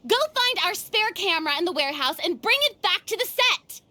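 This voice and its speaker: An excitable young director in an anime-style game. She is very high and piercingly bright, bossing people around with theatrical, swooping exclamations. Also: fairly quick, dry recording, full character voice. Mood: shocked, frantic. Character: excitable bossy young female film director.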